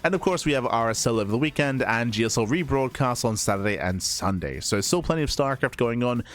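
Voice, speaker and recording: A man's voice: medium pitch, animated, fairly quick, fairly bright tone. A man casting esports, winding down a stream in a chatty, rambling way, his voice still recovering after he lost it. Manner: chatty, rambling with fillers and restarts. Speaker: esports caster. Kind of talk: casual stream sign-off.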